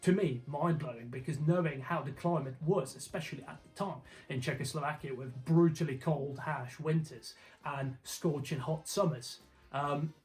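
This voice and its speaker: An emphatic man presents an informal explainer over background music. His voice sits fairly high for a man, and he talks colloquially, tossing questions at the viewer. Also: normal speed, a little mellow, rough recording. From Slovakia. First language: Slovak. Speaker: video host. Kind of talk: informal explainer narration to camera.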